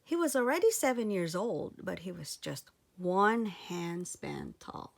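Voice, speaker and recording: A woman reading a children's story aloud in an expressive storytelling style. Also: fairly low pitch, a little slow, gravelly.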